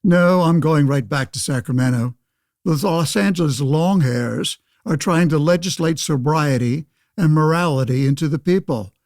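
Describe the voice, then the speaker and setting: An actor playing a blustering, pompous old-time senator in a radio play, declaiming theatrically like a stump orator. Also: male voice, a little high, moderate pace, a little mellow, no echo, clean studio sound, close to an everyday voice. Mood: grumbling bluster. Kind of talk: old-fashioned political oratory, pseudo-radio play acting.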